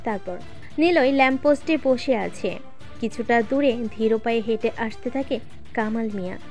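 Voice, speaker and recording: A woman narrating a scripted audio story in a steady, dramatic storytelling manner. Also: fairly high pitch, normal speed, faint music behind.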